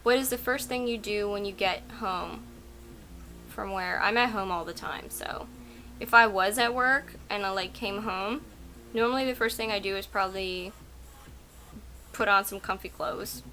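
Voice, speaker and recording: A woman's voice: medium pitch, normal speed, bright, forceful tone, faint music behind, low-quality recording. A young adult woman vlogging casually from home. She is a native Russian speaker who rambles through her answers with plenty of fillers. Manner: rambling, chatty, lots of "like" and "um". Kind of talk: casual chat answering tag questions. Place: at home at night.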